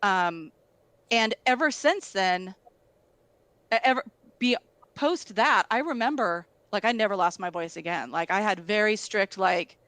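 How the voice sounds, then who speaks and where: A woman's voice: medium pitch, measured pace, a bit bright, dry recording. A middle-aged woman, a guest musician, telling stories casually and filling her speech with "like". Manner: storytelling, filler-heavy, lots of "like". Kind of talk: casual conversation.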